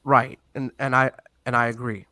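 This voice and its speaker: A man's voice: medium pitch, flat delivery, moderate pace, bright, forceful tone, dry recording. A man in a casual discussion, agreeing and building on what others just said. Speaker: guest.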